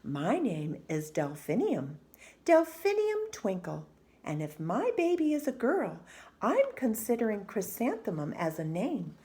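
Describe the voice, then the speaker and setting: A woman voicing a poised, kindly music teacher in a storybook, with a measured, pointed delivery. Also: medium pitch, wildly swooping pitch, mellow.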